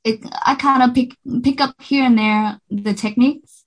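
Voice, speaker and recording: A woman in her thirties with a Vietnamese accent, talking about herself in a relaxed interview. She is upbeat and halting, and her sentences stop and start around plenty of fillers. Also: high voice, poor audio. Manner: hesitant, many fillers and restarts, upbeat. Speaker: singer, interview guest. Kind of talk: casual interview chat.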